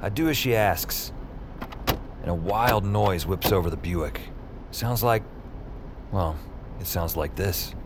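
A man's voice: low-pitched, takes it slowly, long pauses, heavy background noise. An actor playing a wry, self-deprecating young man who narrates his strange adventures in a dry, conversational audio drama style. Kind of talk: first-person scripted audio drama narration.

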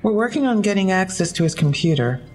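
A woman's voice: medium pitch, big pitch swings, quick pace, darkish tone, faint music behind, natural voice. A woman playing a frank police detective in an audio drama, dry and matter-of-fact as she briefs. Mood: dry, businesslike.

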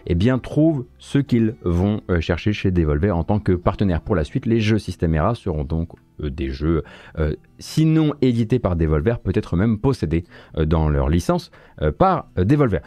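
Male host, casual news chat, hesitant and wry, warm low voice, dry recording. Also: very animated, normal speed, dry recording. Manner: hesitant, many fillers and self-corrections, wry. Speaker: host of a morning video game news stream.

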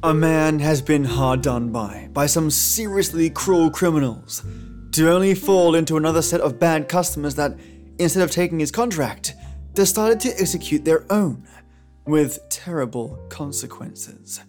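A man's voice: fairly high pitch, normal speed, darkish tone. A man hosting a podcast, giving a scripted, chummy, theatrical introduction to old radio tales. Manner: chummy, theatrical, addresses listeners as mates. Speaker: podcast host. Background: music.

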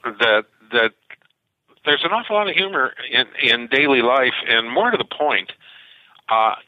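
A man's voice: medium pitch, lively intonation, measured pace, dry recording. An older man, a science fiction author being interviewed, telling stories animatedly with frequent restarts. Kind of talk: interview over the phone. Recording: tinny phone line, dry.

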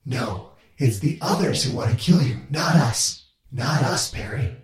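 A man's voice: medium pitch, expressive, unhurried, a crowd in the background. A man voicing an arrogant, bossy swarm of alien parasites with a male voice, heard through an echoey layered filter. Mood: insistent. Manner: arrogant, bossy, hissing urgency.

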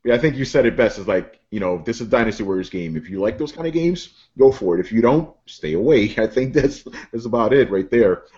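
A man's voice: medium pitch, expressive, fairly quick, few pauses, darkish tone. A man with a New York accent, co-hosting a casual podcast chat with wry, joking asides and the odd curse. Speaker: podcast co-host. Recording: dull lo-fi podcast audio.

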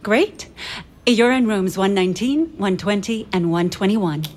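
Actress doing chirpy polite front desk lady, mid pitch, audio drama. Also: a little slow, a little mellow, lightly acted. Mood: cheerful, polite. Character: polite, cheerful spa receptionist woman.

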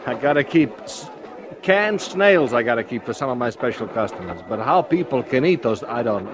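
An actor playing a chatty, fussy, eager-to-please grocery store owner in a 1950s radio drama, effusive and easily distracted. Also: male voice, mid-range voice, lively intonation, moderate pace, a crowd in the background, close to an everyday voice. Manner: effusive, distracted, fawning with customers. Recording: noisy old 1950s radio recording.

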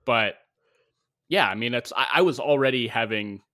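A man's voice: mid-range voice, normal speed, sharp tone. A man co-hosting a sports podcast, chatting casually in a teasing, emphatic and opinionated way. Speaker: podcast co-host.

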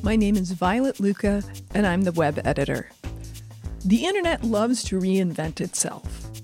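A woman's voice: medium pitch, lively intonation, normal speed, darkish tone. Woman, podcast host, interview and introduction style, mid-range voice, music. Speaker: podcast host and web editor.

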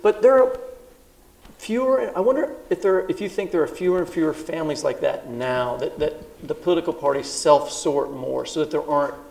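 A man's voice: on the high side, normal speed, vocal fry, a little mellow. A man co-hosting a live podcast taping, reading a scripted introduction and then asking loose conversational questions. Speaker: podcast co-host. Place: stage at a live book festival event. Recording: roomy hall sound at a live event.

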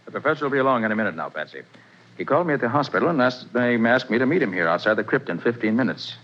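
A man in a 1940s radio play acting a confident detective who lays out the case in brisk, self-assured explanations. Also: medium pitch, lively intonation, a bit bright. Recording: dull old radio broadcast.

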